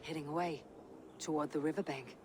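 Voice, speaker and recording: A woman playing a shrewd, loyal Viking warrior in a video game, speaking calmly and tersely as she probes. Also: low-pitched, brisk, noisy background, close to an everyday voice. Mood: deducing. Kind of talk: video game dramatic acting.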